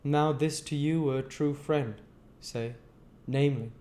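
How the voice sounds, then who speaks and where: A man's voice: fairly high pitch, fairly even intonation, takes it slowly, dark tone, pitched above the performer's own voice. A man voicing a kindly, uneducated country man from a novel, earnest and argumentative, with rustic, emphatic phrasing. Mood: earnest, gently insistent. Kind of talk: audiobook character voice.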